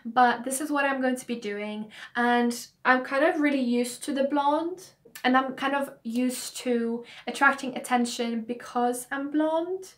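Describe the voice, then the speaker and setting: A young woman talks straight to her camera at home, chatty and earnest as she lays out her reasons. Her voice sits a little high, but hay fever has left it croaky. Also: unhurried. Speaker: beauty vlogger. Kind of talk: casual talking-to-camera vlog.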